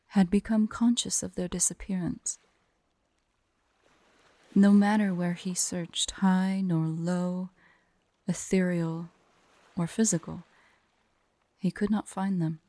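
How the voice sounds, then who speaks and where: Woman acting as female dragon, ASMR roleplay, proud and wistful, formal diction, hushed breathy drops, soft dark tone, close dry recording. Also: on the low side, no room sound. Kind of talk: ASMR roleplay with slow myth storytelling.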